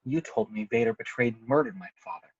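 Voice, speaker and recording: A man reads a young hero in training, troubled and sincere. His mid-pitched, slightly rough voice comes dull and distant over a video call, in a script read-through. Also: quick pace, no room sound, natural voice. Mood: dejected, reproachful. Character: earnest, troubled young male Jedi apprentice. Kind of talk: online amateur script table read. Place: home room, on a video call.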